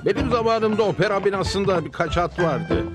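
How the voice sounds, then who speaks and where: A man's voice: high-pitched, brisk, lightly acted. A man voicing a wry, grumbling talking horse, a loyal companion, in a Turkish cartoon dub. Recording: background music under the voice.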